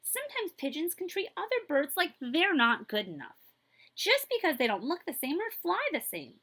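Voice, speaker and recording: A woman librarian reading a picture book aloud to children in an expressive way, with drawn-out emphasis and a habit of addressing her listeners. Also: high-pitched. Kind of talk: children's read-aloud storytelling with asides to listeners.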